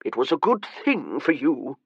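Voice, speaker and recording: A man voicing a frail, irritable, wealthy old gentleman in his later years, short of breath, with a rasping, haughty, slow and weary delivery. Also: mid-range voice, big pitch swings, no room sound, muffled recording. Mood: disdainful.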